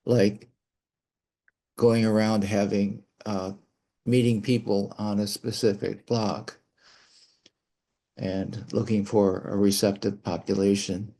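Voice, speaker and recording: A man being interviewed speaks slowly in a dark, relaxed voice. He is halting, pausing often to find the next word as he gives his conversational answers. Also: lowish voice. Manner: halting, pausing to search for words.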